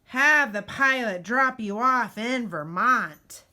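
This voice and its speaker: A woman reading a children's storybook aloud expressively, with deliberate, dramatic emphasis and pauses. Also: fairly high pitch, animated, sharp tone. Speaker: teacher reading a picture book aloud.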